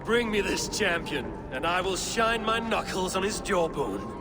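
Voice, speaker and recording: Proud, high-voiced Norse warrior. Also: male voice, big pitch swings, unhurried, loud music behind the voice. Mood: proud defiance. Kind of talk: video game voice acting. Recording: clear outdoor ambience behind the voice.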